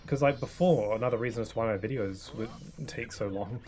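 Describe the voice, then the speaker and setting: A man's voice: fairly low pitch, a little fast. Rambling young man streaming a game. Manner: rambling, soft-spoken, many fillers and restarts. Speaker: streamer playing a video game. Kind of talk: casual chat while gaming. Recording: game music playing behind.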